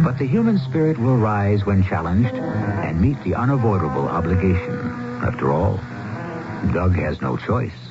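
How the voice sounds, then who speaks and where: A man hosting a radio mystery series, giving portentous, theatrical scripted narration. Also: on the low side. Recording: muffled old radio broadcast.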